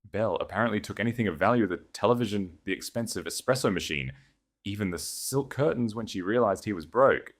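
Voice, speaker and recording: Guy doing a calm devoted nobleman for a story, measured and conversational, lifts up from a deep voice, lively melody. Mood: professional. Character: devoted, calm aristocratic man, duke consort.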